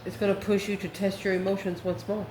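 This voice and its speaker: A woman's voice: mid-range voice, flat delivery, quick pace. A woman giving an improvised tarot reading to viewers, thinking aloud conversationally in short phrases. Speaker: tarot reader, channel host. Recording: roomy echo, faint background noise.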